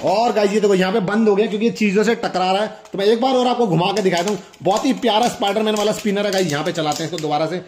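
A man's voice: high-pitched, racing, few pauses, a little mellow, slight background noise. A young man, chatting casually to viewers as he hosts a hands-on toy unboxing. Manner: chatty, demonstrative, often addresses viewers. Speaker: toy review host.

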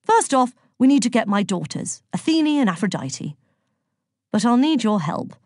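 A woman's voice: on the high side, sing-song, normal speed, darkish tone, dry recording, clean studio sound, pitched above the performer's own voice. A woman voicing a jovial, bluff old-fashioned male god with a hearty, roaring, pompous manner in a children's storybook.

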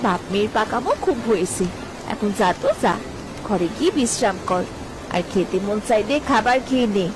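A woman's voice: mid-range voice, expressive, normal speed, over music, natural voice, low-quality recording. A woman voicing a bossy but concerned village wife and mother in a cartoon, with an exclamatory way of talking. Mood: scolding. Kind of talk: Bengali cartoon voice acting.

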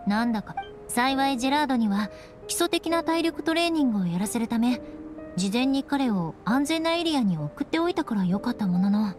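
A woman's voice: a little high, animated, a little fast, over music, natural voice. A woman playing a poised young female knight in an anime game, with a youthful, clean voice and composed, articulate delivery. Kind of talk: Japanese anime game voice acting.

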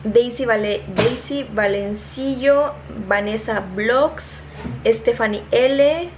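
A young woman with a Mexican accent, chatting casually as she reads out shout-outs to her viewers, filmed on a handheld phone in her kitchen. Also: a little high, slight background noise, poor audio. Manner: chatty, reading names quickly, laughing at herself. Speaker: vlogger. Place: home kitchen, indoors. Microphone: phone held at arm's length.

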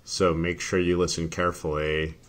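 A man explaining an assignment as a teacher, in a deliberate, careful manner. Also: deep voice, level tone. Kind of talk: instructional screen explanation for students.

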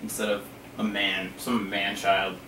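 A man's voice: fairly low pitch, completely flat delivery, a little slow, pushed and bright. A young American man co-hosting a casual chat, bantering goofily and letting his sentences trail off. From United States. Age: young adult. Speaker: co-host. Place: small cluttered storeroom indoors. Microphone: far camera mic. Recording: echoey room, distant mic, faint hiss.

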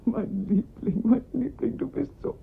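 An actress playing the anxious, tearful mother of a kidnapped boy in a radio crime drama, halting and emotional. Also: fairly high pitch, gravelly, breathy edge, full character voice. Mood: tearful relief. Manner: halting, emotional, repeating herself. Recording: muffled, band-limited radio recording.

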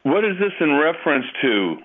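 A man's voice: fairly high pitch, very animated, moderate pace, a little hiss or hum. A man on a phone call, blunt and questioning with the caller. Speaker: prank call recipient. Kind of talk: phone conversation. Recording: thin phone line, background chatter.

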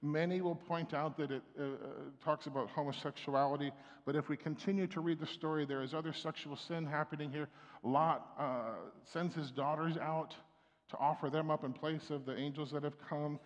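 A man's voice: a little high, steady tone, normal speed. A middle-aged man preaching a sermon from a church pulpit, speaking conversationally with frequent restarts and fillers. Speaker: pastor. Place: church sanctuary. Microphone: stand mic at pulpit, far camera. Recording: long church reverb.

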